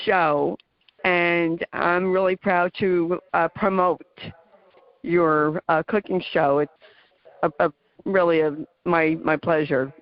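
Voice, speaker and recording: A woman with a New Jersey accent hosting a talk radio interview, earnest and halting with many fillers. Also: lowish voice, no echo, dull audio. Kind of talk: casual interview chat.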